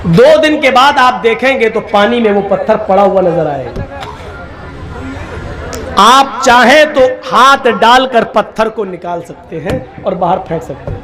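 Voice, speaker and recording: A male preacher delivering a sermon at a religious gathering, chanting Arabic prayers slowly and exhorting loudly between them. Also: high voice, poor audio. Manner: asks the audience questions directly. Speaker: Islamic preacher.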